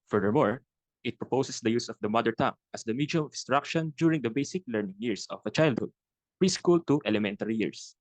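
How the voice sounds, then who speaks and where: A young man with a Filipino accent, giving a prepared answer to a class question in a steady, formal read. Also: mid-range voice, dry recording, low-quality recording. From Philippines. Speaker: student panelist.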